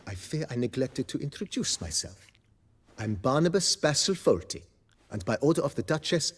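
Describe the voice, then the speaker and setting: A man's voice: medium pitch, breathy edge. An actor playing a deferential male majordomo in a fantasy video game, formal, mannered and eager to serve. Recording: music behind the voice.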